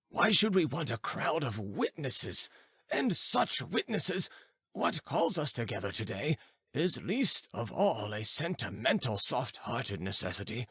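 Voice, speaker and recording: An indignant old philosopher as an audiobook narrator, a man, plays him, lofty and formal. His pitch is raised and swings widely as he declaims. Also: a bit bright, breathy edge, no room sound, studio quality, exaggerated voice. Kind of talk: audiobook character dialogue.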